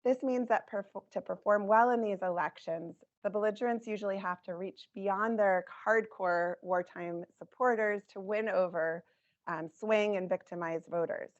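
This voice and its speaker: A woman presenting her research in a measured academic talk, her voice scratchy, with frequent ums. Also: medium pitch. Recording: dull lo-fi recording.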